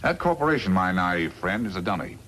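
A calculating villain of a 1950s radio western, a crooked lawyer who gives orders. His lowish voice swings expressively with smug insinuation. A faint layer of vintage noise sits over the recording. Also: big pitch swings, a little fast, a bit bright.

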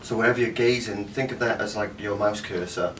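A Cardiff Welsh man in his thirties talks through a device at home, enthusiastic and full of fillers and restarts. His voice sits on the lower side. The sound is picked up from across the room, with a faint hiss behind. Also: steady tone, a little fast, a bit bright. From Wales. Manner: enthusiastic, rambling with fillers, gesturing. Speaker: tech reviewer. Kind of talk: casual product review. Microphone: camera mic from a few metres. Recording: distant camera mic, faint room noise.

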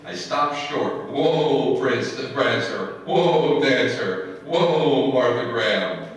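A man's voice: medium pitch, slow pace, lightly acted. A man playing a wry, put-upon husband in an old-time radio comedy, performed on stage. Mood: storytelling. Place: indoor hall stage before seated audience. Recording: roomy, distant stage recording, faint noise.

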